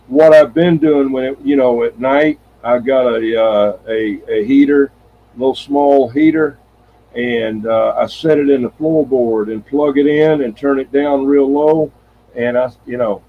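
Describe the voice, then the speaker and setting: Male CB radio host, husky and folksy, loose rambling delivery, muffled lo-fi radio audio. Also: medium pitch. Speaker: host of a CB radio live stream. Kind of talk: casual CB radio chat. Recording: dull, lo-fi CB radio sound.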